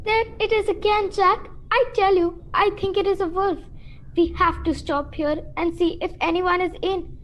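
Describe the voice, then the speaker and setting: A woman playing a sensible, bossy girl in a student radio play, brisk and scolding. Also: extremely high pitch, fairly even intonation. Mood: urgent. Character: sensible, bossy girl hiker, practical and dismissive. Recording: loud music over the voice.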